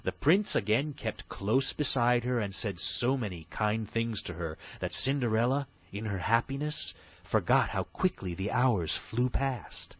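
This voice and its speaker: A man's voice: mid-range voice, lively intonation, measured pace, hardly any pauses, fairly bright tone, muffled recording, soft background music. A man reading a fairy tale as an audiobook narrator, in a scripted bedtime story style.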